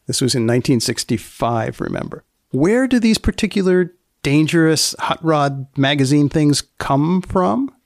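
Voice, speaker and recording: Male host, dry close recording, gravelly edge; deadpan, mock-solemn, wordy banter. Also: on the high side, moderate pace, no echo. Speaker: podcast host. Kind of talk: wry scripted commentary with banter.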